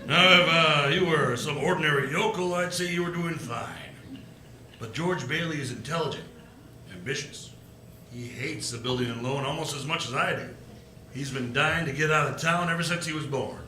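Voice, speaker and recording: A man's voice: on the high side, normal speed, bright, forceful tone. An actor playing a scheming, domineering old rich businessman in a live 1940s-style radio play, condescending and irascible. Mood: sly, calculating. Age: older. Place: live stage performance. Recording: roomy stage sound, faint noise.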